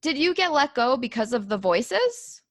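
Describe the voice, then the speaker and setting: A woman's voice: sits high, sing-song, moderate pace, dry recording, clean studio sound. A woman hosting a casual interview podcast, chatting in an agreeable, responsive way. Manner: agreeable, reacts often.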